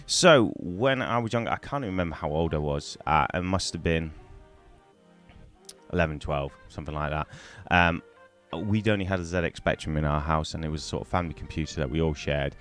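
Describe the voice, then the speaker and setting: Middle-aged man from Wales, very low voice, hoarse from a cold, rambling chatty vlogger, cheap mic with faint music. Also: brisk, fairly bright tone. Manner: rambling, chatty, many ums and restarts. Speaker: gaming YouTuber. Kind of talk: casual to-camera storytelling. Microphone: poor-quality microphone.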